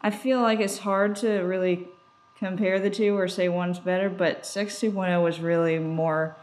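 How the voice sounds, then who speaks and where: A woman's voice: lowish voice, steady tone, moderate pace, a little mellow. A woman co-hosting a podcast, chatting casually and informally. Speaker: podcast co-host. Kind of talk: casual conversational podcast chat.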